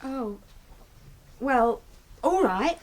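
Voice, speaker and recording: An actress playing a jumpy, deferential household maid in a radio drama, plain-spoken. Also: female voice, high-pitched, animated, drawn-out words, exaggerated voice. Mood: hesitant, timid.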